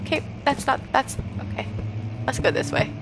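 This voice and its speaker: A young woman with a raspy voice from a cold, reading game notes aloud and reacting nervously as she plays a horror game on a headset mic. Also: high-pitched, big pitch swings, normal speed, background noise. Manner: reads notes aloud, then jumpy reactive chatter. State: getting over a cold. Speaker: gaming streamer. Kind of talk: horror game let's play commentary. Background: game music.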